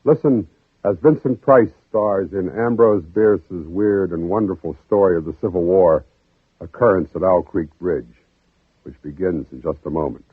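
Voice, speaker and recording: A man narrating an old-time radio drama with solemn, measured, deliberate phrasing. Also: deep voice, breathy edge, no echo. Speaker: narrator and program host. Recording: muffled old radio broadcast, faint music.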